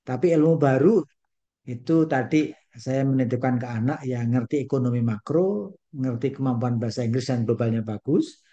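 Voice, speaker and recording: A man's voice: medium pitch, normal speed, mellow, lo-fi sound. An older man from Indonesia giving an online lecture over a video call, folksy and peppered with English phrases and little rhymes.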